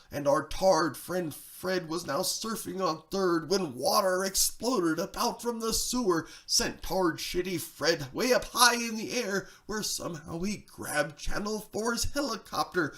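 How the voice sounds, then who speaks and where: Male storyteller, slow measured pace, creaky gravelly tone, theatrical verse narration, hushed to excited. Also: fairly high pitch. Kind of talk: dramatic rhyming story reading.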